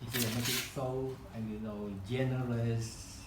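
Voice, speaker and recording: A man whose first language is Chinese, leading a live kokedama-making demonstration in a room and often pausing to search for words. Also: medium pitch, level tone, echoey room, heavy background noise. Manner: halting, searching for words, many restarts. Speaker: kokedama workshop founder demonstrating. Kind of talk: live hands-on craft demonstration. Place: room at a home demonstration event.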